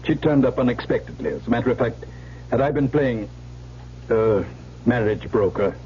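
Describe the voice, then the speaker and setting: An actor playing a proper, fussy older family lawyer in a radio drama, formal and cautious. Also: male voice, medium pitch, moderate pace, close to an everyday voice. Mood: disapproving. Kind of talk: 1970s radio drama acting. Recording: dull old radio recording, faint hum.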